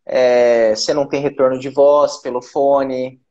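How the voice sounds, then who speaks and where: A man in his thirties from Brazil, a voice actor guest on a video call, explaining things in a relaxed way with lots of repetition. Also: mid-range voice, unhurried, dull audio. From Brazil, Campinas. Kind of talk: casual interview chat. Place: small room at home. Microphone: video call with earphone mic.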